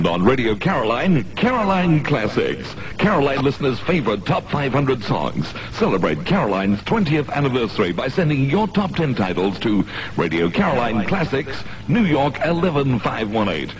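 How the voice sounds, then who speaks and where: A man's voice: mid-range voice, sing-song, normal speed, low-quality recording. A man reading a scripted station promo as an announcer on a 1980s radio recording. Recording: 1980s off-air radio aircheck.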